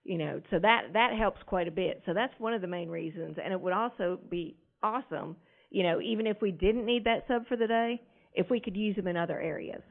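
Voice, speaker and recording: Loud, frank woman principal. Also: mid-range voice, a little fast, vocal fry. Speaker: school principal. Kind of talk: speaking before a board meeting. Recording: tinny lo-fi meeting audio.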